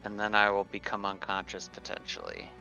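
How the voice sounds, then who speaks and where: A man's voice: fairly low pitch, wildly swooping pitch, brisk, gravelly, pushed and bright. A man chatting casually as a player at a tabletop roleplaying game, on a somewhat lo-fi mic. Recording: dull lo-fi mic sound. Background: background music.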